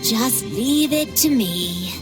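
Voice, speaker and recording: Young adventurer for a game: composed, confident, unhurried, slightly high voice. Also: female voice, animated. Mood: assured. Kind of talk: video game character voice acting. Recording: background music behind the voice.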